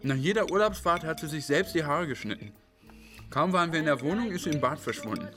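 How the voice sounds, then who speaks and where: A man's voice: on the high side, animated, fast talker, bright, forceful tone. Dry, wistful abandoned man, music behind. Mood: puzzled, reminiscing. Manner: reflective, dry, confiding. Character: abandoned man, architect, bitter, wry, quietly grieving. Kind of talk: interview-like radio drama monologue.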